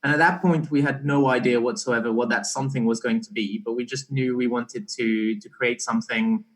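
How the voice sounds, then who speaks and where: Young man, halting interview guest, medium pitch. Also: fairly even intonation, poor audio. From Belgian-born, partly raised in Barbados. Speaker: interview guest, startup co-founder. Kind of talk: casual explanatory interview.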